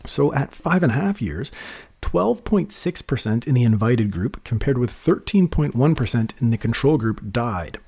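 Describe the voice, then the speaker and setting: A man's voice: medium pitch, moderate pace, husky. A man, a physician, explaining research papers in a casual podcast chat, peppered with "you know". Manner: lively, explanatory, many you-knows. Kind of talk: casual medical journal discussion.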